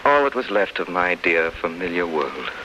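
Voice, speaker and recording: A man's voice: low-pitched, very animated, moderate pace, slight background noise, full character voice. An actor playing a saddened, earnest young man who tells of his past and his dreams in 1930s radio drama style. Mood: melancholy reverie. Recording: dull 1930s radio recording, loud music behind.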